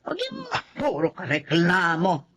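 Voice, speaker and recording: A woman's voice: fairly low pitch, wildly swooping pitch, moderate pace, fairly bright tone, exaggerated voice. A woman playing a bitter, stubborn older woman in a drama, who speaks in an indignant, dramatic way about an old grudge.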